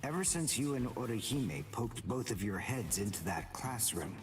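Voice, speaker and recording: Guy doing a snooty cold teen anime rival, condescending game dub voice, big pitch swings, music under it. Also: sing-song, far from the mic, lightly acted. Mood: smug lecturing. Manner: haughty, deliberate, condescending. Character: cold, arrogant teenage male archer rival.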